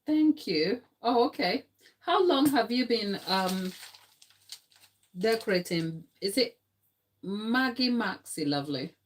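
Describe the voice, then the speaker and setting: A woman's voice: medium pitch, lively intonation, takes it slowly, pauses often. A middle-aged woman with a Ghanaian accent, a non-native English speaker, giving a casual, explanatory live tutorial and chatting with viewers. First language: not English. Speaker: cake decorating instructor. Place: home kitchen, indoors.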